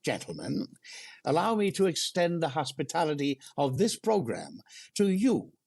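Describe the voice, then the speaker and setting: A man playing a befuddled, mock-formal, long-suffering comedian in a 1930s radio comedy, with a husky voice. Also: on the high side, huge pitch swings, normal speed, darkish tone, heavily acted. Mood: flustered, formal.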